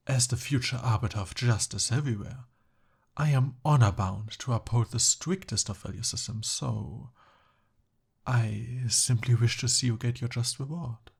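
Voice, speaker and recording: A man plays a young prince held captive by a dragon, speaking in a formal, courtly way. His delivery is unhurried and a little hesitant, with a soft husk to the voice. He is smooth-talking and eager, in the style of an ASMR audio roleplay. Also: mid-range voice. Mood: flustered.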